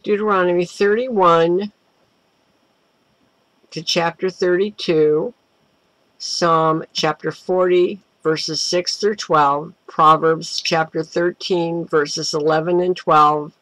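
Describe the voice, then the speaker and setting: A woman's voice: fairly low pitch, fairly even intonation, takes it slowly, fairly bright tone, no echo, poor audio. An older American woman hosting a Bible study, teaching informally to camera in an emphatic, conversational manner. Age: older, 60s or above. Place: small home office, indoors.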